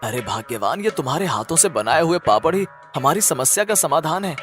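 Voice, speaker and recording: Man acting a lively, high-toned coaxing village farmer. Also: fairly quick, soft background music. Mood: pleading, coaxing. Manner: coaxing, reassuring. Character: good-humoured, affectionate village farmer turned papad hawker.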